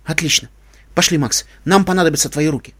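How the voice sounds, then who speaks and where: A man voicing a cool, caring female pony leader with a measured, polite and decisive manner in a storybook. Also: on the high side, a bit bright, slightly rough. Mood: businesslike.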